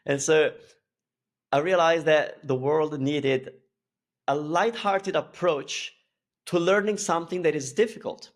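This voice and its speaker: Male guest, laid-back and chatty, somewhat high-pitched. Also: a little slow. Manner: easygoing, relaxed, thoughtful with frequent restarts. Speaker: guest, language school founder. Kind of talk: casual interview talk.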